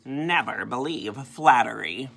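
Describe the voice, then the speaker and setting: A man voicing a sly male fox who flatters in a honeyed, drawn-out way for a children's storybook. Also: medium pitch, creaky, slightly rough, exaggerated voice.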